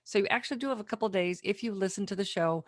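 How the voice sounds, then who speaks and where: A woman hosting a podcast in casual interview chat, speaking informally and a little haltingly. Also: mid-range voice, no echo, clean studio sound. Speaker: podcast host.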